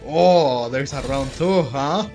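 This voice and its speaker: A man's voice: medium pitch, slow pace, darkish tone, faint music behind. A man giving casual playthrough commentary as a gaming streamer, reacting aloud in an informal way.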